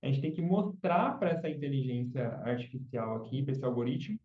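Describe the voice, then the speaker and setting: A man's voice: medium pitch, lively intonation, a little fast, far from the mic. A man who is a podcast guest, explaining chatbots patiently in casual interview talk and often ending with tag questions. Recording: dull, roomy sound from off mic.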